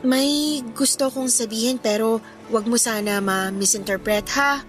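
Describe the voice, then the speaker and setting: An actress playing a considerate, candid young daughter with a Filipino accent in a radio drama. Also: female voice, a little high, unhurried, fairly bright tone, soft background music. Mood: candid, careful.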